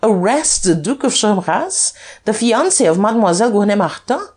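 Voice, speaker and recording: Woman as a suave, taunting gentleman duke, husky. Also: on the low side, animated, unhurried, pitched above the performer's own voice. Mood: scornful. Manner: taunting, coolly superior. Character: suave, cool, scornful aristocratic gentleman duke. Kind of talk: dramatized audiobook reading.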